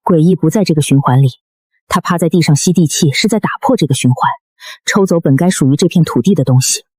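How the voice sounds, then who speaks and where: An earnest, studious male researcher from an animated drama, played by an actor. He explains at a quick clip, with a dry, close sound and no room around it. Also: on the high side, breathy edge, no echo. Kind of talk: animated web drama dialogue.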